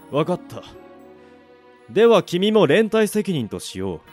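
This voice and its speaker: An imperious officer, voiced by a man, stern and formal in a radio drama. The voice sits fairly high and swings dramatically, with faint music behind. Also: very animated, takes it slowly, a little mellow, full character voice. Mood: stern, cold. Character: stern, haughty male commanding officer. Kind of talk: amateur radio drama acting.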